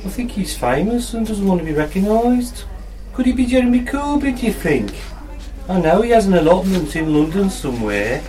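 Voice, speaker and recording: A woman's voice: mid-range voice, big pitch swings, normal speed, warm tone, far from the mic, a little hiss or hum, close to an everyday voice, poor audio. A woman voicing an eager, conspiratorial male gardener in an audio storybook. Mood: eager speculation. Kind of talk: audio storybook character dialogue.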